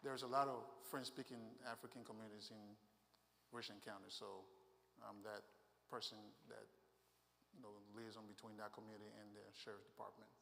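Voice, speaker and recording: A man's voice: on the low side, flat delivery, fairly quick, vocal fry, pushed and bright. A man from Liberia, a sheriff's deputy, giving a conversational safety training talk with fillers. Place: church hall. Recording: long hall reverb.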